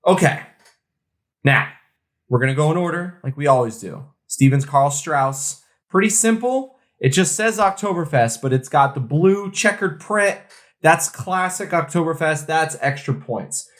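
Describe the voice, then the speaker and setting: Man, slightly high voice, enthusiastic, slangy, casual podcast co-host. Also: lively intonation, unhurried. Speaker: co-host of a craft beer podcast.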